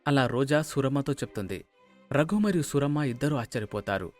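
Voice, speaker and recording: A man's voice: medium pitch, expressive, fast talker, soft background music. A man narrating a scripted moral story.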